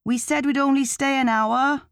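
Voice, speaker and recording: A woman's voice: high voice, fairly even intonation, normal speed, no room sound, clean studio sound, voice pushed up. A woman voicing a sympathetic, slightly uneasy man of around forty in an audiobook, talking in a familiar, conversational way. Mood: bored, desperate. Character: Zelda's male friend Lenny, sympathetic, uneasy, around forty.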